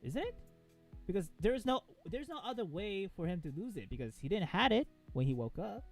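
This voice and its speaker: A man reacting casually to a game, excitable and full of slang and swearing. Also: high-pitched, brisk, soft background music. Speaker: let's-play co-host.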